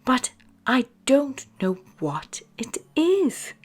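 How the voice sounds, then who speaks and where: Lady doing a squeaky eager puppy kid, high and bouncy, breathy. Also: sing-song, drawn-out words, faint music behind, heavily acted. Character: cheerful curious young puppy boy.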